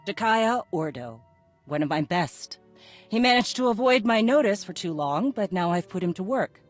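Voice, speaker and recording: A woman's voice: medium pitch, lively intonation, moderate pace, faint music behind, natural voice. Wry, commanding warrior woman voiced by an actress. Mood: wry, teasing. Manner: dry, teasing, self-assured. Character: wry, commanding female Mandalorian leader.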